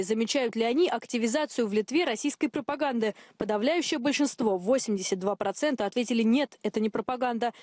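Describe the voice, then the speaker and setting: A young female correspondent voices a scripted television news report. Her voice sits high, and her delivery is brisk and pointed. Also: a little mellow, no room sound, studio quality.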